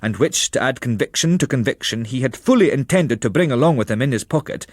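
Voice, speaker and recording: A man narrates a literary memoir in a medium-pitched voice. His delivery is measured and expressive, like a practised storyteller. The sound is dry and close, free of room echo.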